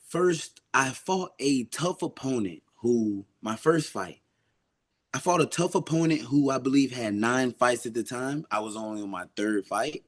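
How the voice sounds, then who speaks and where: A man's voice: medium pitch, animated, measured pace. A man being interviewed as an amateur boxer, talking casually and reflectively in an earnest, emphatic way.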